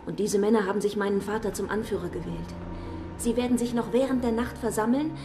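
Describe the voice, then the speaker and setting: An actress playing a shy, earnest, devout young mountain girl, breathy and hushed, in a radio drama. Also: a little high, fairly even intonation, fast talker, background noise, lightly acted.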